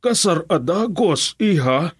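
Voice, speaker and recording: Radio drama dad: probing and protective, slow husky speech, Ilocano accent. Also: male voice, fairly high pitch, animated, no room sound, full character voice. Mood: wary, questioning. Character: probing, protective middle-aged father of grown daughter.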